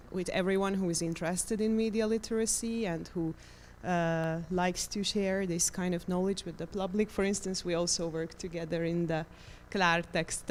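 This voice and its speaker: A woman's voice: fairly low pitch, a little hiss or hum. A woman from Hungary, speaking as a panelist on media literacy, hesitant with many ums and uhs. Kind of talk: panel discussion.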